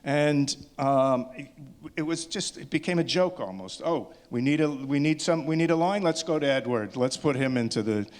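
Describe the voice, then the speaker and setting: A man's voice: medium pitch, expressive, normal speed. A man speaking informally as a filmmaker at a discussion, effusive and often breaking off to restart his sentences. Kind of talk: informal post-screening remarks.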